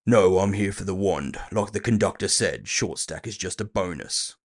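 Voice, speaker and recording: A man's voice: low-pitched, normal speed. A man voicing a big, cool-headed orc bounty hunter, blunt and dryly cocky, in a fantasy audio drama. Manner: blunt, dry, confident.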